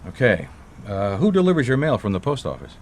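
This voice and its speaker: A man's voice: medium pitch, big pitch swings, brisk, darkish tone, a little hiss or hum, natural voice. A man playing a laconic, dogged private detective in a noir radio mystery, casual, wry and probing. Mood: probing, dry.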